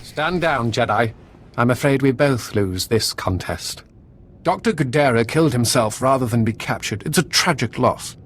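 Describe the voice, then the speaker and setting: An actor playing a suave, cunning enemy agent who speaks in a calm, smooth, formal way. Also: male voice, medium pitch, moderate pace, natural voice.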